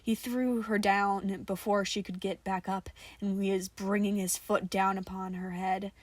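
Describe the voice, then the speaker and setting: A woman's voice: mid-range voice, little pitch movement, unhurried, dry recording. Woman reading fiction, dry close sound. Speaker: amateur podfic reader. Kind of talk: scripted story narration.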